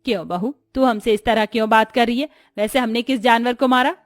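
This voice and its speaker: A woman's voice: on the high side, fairly even intonation, brisk, no echo, clean studio sound, lightly acted. A woman voicing a stern, scolding middle-aged Indian mother-in-law in a cartoon story. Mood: offended. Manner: commanding, scolding.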